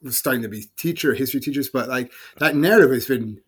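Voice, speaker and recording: A man's voice: mid-range voice, fast, a bit bright, slightly rough. A middle-aged man co-hosting a casual podcast, teasing his partner with easygoing banter. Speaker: podcast co-host. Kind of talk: casual chat.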